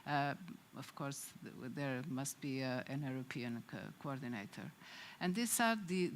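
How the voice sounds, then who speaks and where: A woman presenting at a conference, halting with many fillers and restarts. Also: deep voice, slight background noise.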